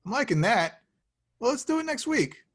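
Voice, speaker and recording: A man's voice: very high voice, big pitch swings, normal speed, a bit bright, breathy edge, heavily acted. A man playing an earnest, easygoing young hero in a casual film table read, talking in a hesitant, conversational way. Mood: chatty.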